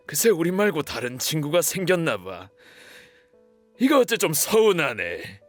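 A young man playing a sarcastic, sneering gang bully in an audio drama. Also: medium pitch. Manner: mock-friendly, sneering. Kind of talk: Korean audio drama villain acting.

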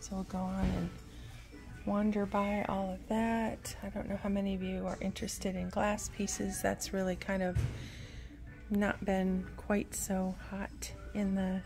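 A woman strolls through a big antique mall with her phone, speaking slowly and loosely with plenty of fillers. Her voice sits in an ordinary middle register. Store music plays softly behind her on the phone recording. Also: mid-range voice, level tone. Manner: slow, meandering, unhurried with frequent fillers. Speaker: antiques vlogger. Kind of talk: casual walk-through commentary. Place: large indoor antique mall. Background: store music playing faintly.

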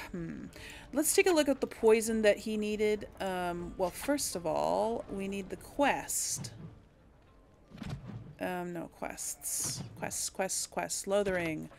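A woman's voice: mid-range voice, expressive, takes it slowly, gravelly, darkish tone, a little hiss or hum. A woman giving casual let's play commentary, chatting to her viewers and thinking aloud as she plays. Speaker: video game player hosting a series. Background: game music.

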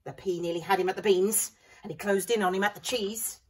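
A middle-aged woman reading a children's story aloud in a dramatic, expressive way. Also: medium pitch, a little fast, a little hiss or hum. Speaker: primary school staff member reading aloud. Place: small school library corner indoors. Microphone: camera a few feet away. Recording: slightly distant room camera microphone.

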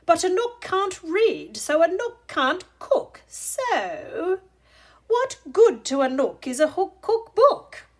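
Female storybook reader: high-pitched, lively swooping intonation, deliberate sing-song rhythm. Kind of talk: expressive children's picture book read-aloud.

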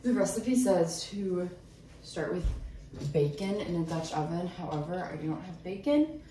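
A young woman vlogger chatting casually as she cooks in her home kitchen, thinking out loud about each step. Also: on the low side, animated, unhurried, slight background noise. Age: young adult. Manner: chatty, thinks aloud while cooking. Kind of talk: casual cooking vlog talk. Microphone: camera on the counter, a few steps away. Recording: echoey kitchen, mic at a distance.

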